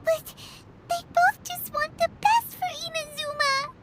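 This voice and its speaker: An actress voicing a tiny childlike fairy companion in a video game, squeaky, earnest and naive. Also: female voice, measured pace, loud music behind the voice. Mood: worried, pleading. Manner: pleading, naive. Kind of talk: cartoonish video game voice acting.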